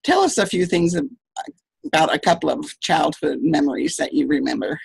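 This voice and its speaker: A woman's voice: low-pitched, moderate pace, creaky, husky, no room sound. A woman hosting an author interview, asking questions with short friendly reactions. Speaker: interview host.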